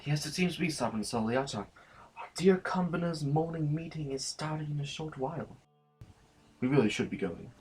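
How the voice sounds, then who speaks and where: A man's voice: on the high side, lively intonation, normal speed, a little mellow, breathy edge. A man playing a prim, deferential, status-conscious official in an audio drama, speaking formally and fussily. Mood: prim, reproachful. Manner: formal, fussy, hesitant phrasing.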